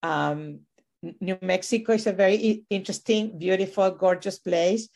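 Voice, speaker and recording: A woman's voice: medium pitch, normal speed, vocal fry, a little mellow. A middle-aged woman from Chile, raised in Venezuela, talking as a university administrator and professor in an interview, thoughtful and discursive with many fillers and restarts. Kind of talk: reflective interview conversation.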